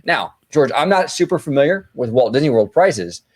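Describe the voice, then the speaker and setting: A man's voice: medium pitch, big pitch swings, moderate pace, darkish tone. Brash, chatty man hosting a podcast. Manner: brash, swears freely, repeats phrases. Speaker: podcast host. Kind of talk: casual chat.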